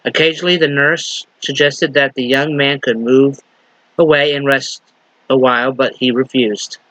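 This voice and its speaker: Minister with a lingering slight cough. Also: male voice, medium pitch, fairly even intonation, a bit bright, no room sound, rough recording. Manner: unhurried, halting with fillers and restarts. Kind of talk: sermon with story reading.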